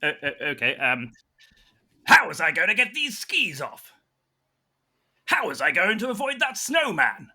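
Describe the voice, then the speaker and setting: A man with a fairly high, animated voice delivers improvised comic drama. He is hammy and flustered, with a very bright, tense edge to the sound. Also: unhurried, long pauses, breathy edge. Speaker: performer in an improvised comedy audio drama. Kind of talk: theatrical improvised narration and banter.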